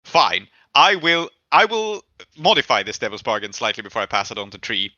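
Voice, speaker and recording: A man's voice: medium pitch, very animated, fairly quick, sharp tone, dry recording, muffled recording. A man acting as game master, explaining rulings and stakes to his players in casual talk.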